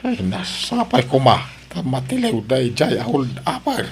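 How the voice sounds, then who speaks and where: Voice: medium pitch, huge pitch swings, measured pace, soft background music. A performer playing an anxious, concerned old father in an Ilocano audio drama. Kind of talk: Ilocano radio-style drama acting.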